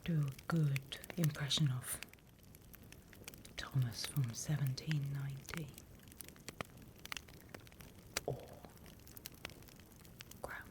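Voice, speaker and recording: A British woman playing a sly demon instructor in a whispered ASMR roleplay, unhurried, wry and smugly scornful of humans. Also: extremely low pitch, steady tone, background noise. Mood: sly, amused. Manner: unhurried, hushed, teacherly, wry.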